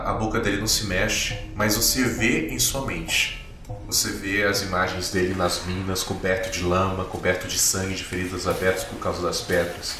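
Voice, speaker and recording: A man acting as game master, narrating scenes descriptively in measured short phrases with pauses between them. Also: sits low. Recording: echoey, distant, with music behind. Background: background music with guitar.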